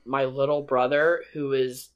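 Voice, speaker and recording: A podcast co-host speaking slowly in a middle-pitched voice. The talk is casual and gushing, broken up by frequent laughs. The delivery is unhurried and drawn out. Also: steady tone, clear, resonant tone. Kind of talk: casual chat.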